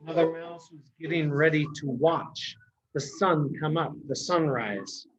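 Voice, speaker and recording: A man reading a picture book aloud to young children as a teacher, explaining simply with deliberate pauses. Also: fairly high pitch, low-quality recording. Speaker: children's storybook reader, early education teacher.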